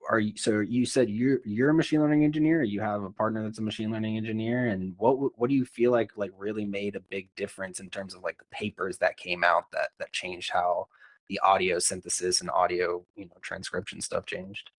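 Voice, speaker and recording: A man with a fairly low voice hosts a casual podcast interview. His talk is informal and chatty, circling back over his own words, with a dry, close sound. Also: steady tone, brisk, no room sound. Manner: informal, repeats himself, peppers talk with "like". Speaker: podcast host.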